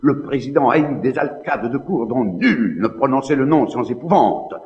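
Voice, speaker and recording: A man's voice: mid-range voice, lively intonation, moderate pace, a little mellow, lightly acted. An actor playing a proud, scheming Spanish nobleman in a classical French stage drama, in a theatrical, declamatory manner. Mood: outraged, bitter. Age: middle-aged. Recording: slightly dull, long hall reverb.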